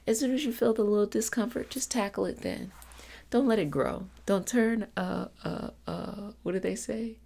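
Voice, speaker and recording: A woman's voice: on the low side, gravelly, darkish tone. A woman giving an ASMR self-help talk in a soothing, reassuring, conversational coaching manner. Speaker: ASMR host and energy healing practitioner.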